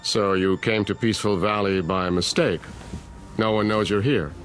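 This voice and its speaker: A man acts out an unflappable small-town mayor in an audio drama. His low voice is measured and formal, every courtesy carrying a veiled threat. Faint music sits underneath. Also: steady tone, natural voice, low-quality recording. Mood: polite, probing.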